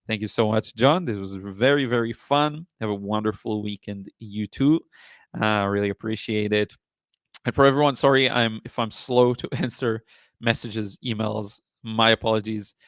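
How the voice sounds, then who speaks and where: A man hosting a casual live stream as an art teacher, chatting in a rambling, appreciative way, his voice slightly hoarse from talking. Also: on the low side, moderate pace, a bit bright, no echo. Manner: rambling, appreciative, often thanking viewers. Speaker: live stream host, watercolor painting teacher.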